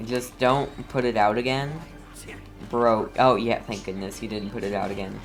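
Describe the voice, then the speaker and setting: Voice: mid-range voice, steady tone, moderate pace. A streamer giving casual gameplay commentary, playful and mock-scolding, often talking straight to the game. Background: game music.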